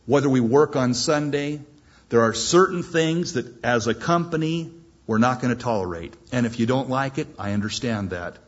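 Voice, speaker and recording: A man's voice: medium pitch, animated, normal speed, dull audio. A man pastor preaching a sermon in church, emphatic and repeating his points. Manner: emphatic, repetitive exhortation.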